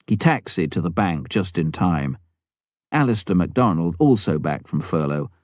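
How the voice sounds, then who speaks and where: Male narrator, mellow tone, dry close sound, scripted memoir reading, measured storytelling, deliberate phrasing. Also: sits low, dry recording. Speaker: audiobook narrator.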